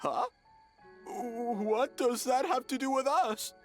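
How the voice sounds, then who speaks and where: A woman voicing a small, confused raccoon-dog spirit in a video game, drawling and slow-witted. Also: sits high, faint music behind. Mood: bewildered. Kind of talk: English video game voice acting.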